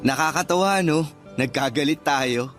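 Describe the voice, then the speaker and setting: A man's voice: a little high, normal speed, fairly bright tone, faint music behind, close to an everyday voice. A male actor playing a kind, good-natured young suitor in a Filipino radio drama, sincere and friendly.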